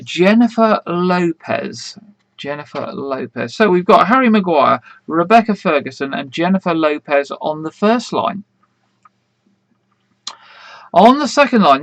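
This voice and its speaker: A man's voice: fairly high pitch, dry recording. Chatty man hosting a quiz. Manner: chatty, rambling, many fillers and restarts. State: croaky voice. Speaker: quiz host. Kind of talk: casual live-stream quiz hosting.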